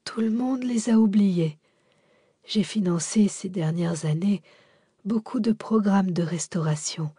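A man's voice: high voice, measured pace, warm tone, dry recording, studio quality, full character voice. A woman voicing an old widowed benefactor, weakened and whispery, confiding secrets with pride and tenderness. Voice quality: whispery, breathy, faint.

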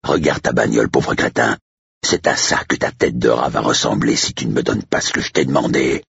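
A stern, threatening man, acted by a male narrator in an audiobook. The voice is extremely low and full of gravel, with a slow, deliberate growl and cold determination. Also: steady tone, a bit bright, dry recording, lo-fi sound, full character voice. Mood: menacing anger. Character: stern, menacing Englishman, slow-spoken and coldly determined.

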